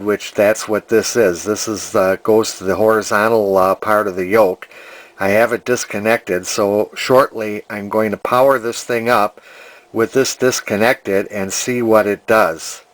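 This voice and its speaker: Bright-voiced man explaining a repair haltingly. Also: lowish voice, no echo. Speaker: amateur vintage TV restorer. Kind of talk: informal hands-on repair demonstration. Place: indoor home workshop.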